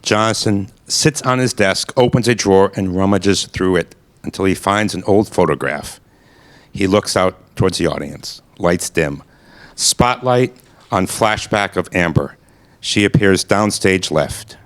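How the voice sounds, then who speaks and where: Man, deep voice, narrator reading stage directions, plain and matter-of-fact. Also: a little slow.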